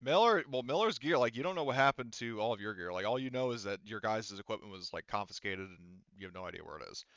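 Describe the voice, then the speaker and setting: A man's voice: on the low side, animated, fairly quick, fairly bright tone, dry recording, muffled recording. A man narrating a tabletop roleplaying game as game master, casual and often profane.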